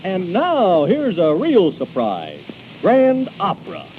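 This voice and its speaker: Animated, high-voiced man, vintage radio host. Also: slow, slight background noise. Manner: theatrical. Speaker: radio show host and announcer. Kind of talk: 1930s radio announcing and comic banter. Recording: tinny 1930s radio broadcast, loud music.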